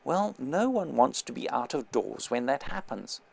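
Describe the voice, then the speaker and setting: A man's voice: medium pitch, sing-song, a little slow, creaky, slightly rough, full character voice. A man reading an audiobook, voicing a secretive passenger who mutters conspiratorially. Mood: furtive. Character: nervous, secretive man, hushed and furtive.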